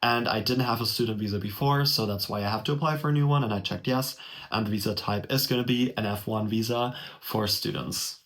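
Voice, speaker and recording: A man's voice: lowish voice, brisk, fairly bright tone. A young man from Germany, a native German speaker, walking viewers through a college application form in a friendly, direct tutorial. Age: young adult. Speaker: vlogger explaining a college application. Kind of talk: casual tutorial walkthrough. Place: small indoor room.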